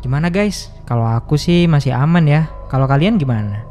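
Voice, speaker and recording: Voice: medium pitch, expressive, a little fast, mellow, faint music behind, lightly acted. Seorang pengisi suara memerankan pemimpin rombongan pendaki yang memberi arahan dan menenangkan teman-temannya. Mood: calm. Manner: reassuring, instructive. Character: calm hiking group leader. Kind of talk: animated horror story voice acting.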